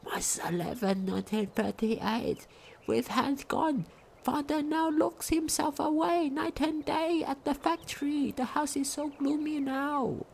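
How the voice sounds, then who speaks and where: A woman reads diary entries aloud as part of a game commentary. Her voice is creaky and often drops into fry, and she trips over words and backtracks. A faint noise sits behind the home recording. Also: sits high, steady tone, a little mellow. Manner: halting reading with stumbles and misread words. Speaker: game player reading in-game text aloud.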